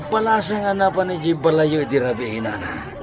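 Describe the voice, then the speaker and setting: A man's voice: fairly high pitch, very animated, a little fast. A male actor playing a rowdy, whining, cowardly drunk villager in an Ilocano radio comedy drama, with slurred stammering and an Ilocano accent. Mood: tipsy, sheepish.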